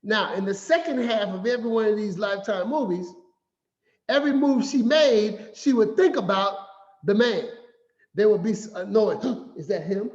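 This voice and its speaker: A man giving a finance talk as an animated, playful storyteller who acts out quotes. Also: sits high, moderate pace. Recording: echoey room, slightly dull sound.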